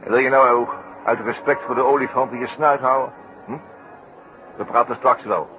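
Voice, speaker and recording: An actor playing a shrewd, amused detective inspector who muses and repeats himself, in 1960s radio drama style. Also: male voice, mid-range voice, steady tone, moderate pace, bright, forceful tone, close to an everyday voice. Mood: wry. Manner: musing, hesitant, repeats his words. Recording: muffled, noisy old radio recording.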